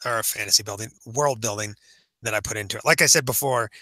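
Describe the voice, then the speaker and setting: A man's voice: on the low side, fast talker, bright, forceful tone, no echo, rough recording. A man co-hosting a podcast as an author, chatting casually with enthusiasm, emphasis and some repetition. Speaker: podcast co-host and fantasy author.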